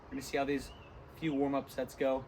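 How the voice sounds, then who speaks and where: A tired, hoarse young man talking casually between lifts in a gym, rambling with many ums. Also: medium pitch, completely flat delivery, brisk, darkish tone, slight background noise. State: hoarse, lost voice from illness. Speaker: fitness vlogger. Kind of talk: casual gym vlog talk.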